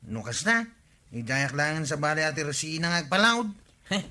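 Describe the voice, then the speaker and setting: A man's voice: a little high, big pitch swings, moderate pace, pushed and bright, exaggerated voice. A man playing a cheeky, naive young nephew sent on errands, wheedling for bargains in a comedy radio drama.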